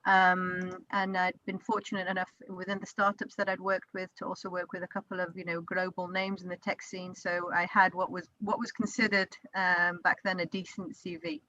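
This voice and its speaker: A woman's voice: fairly low pitch, level tone, normal speed, hardly any pauses, bright, forceful tone, dry recording, highs cut off. Chatty British-accented woman on a call. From Israel. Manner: chatty, many fillers and restarts. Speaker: company co-founder presenting to a networking group. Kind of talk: informal online presentation. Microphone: video call.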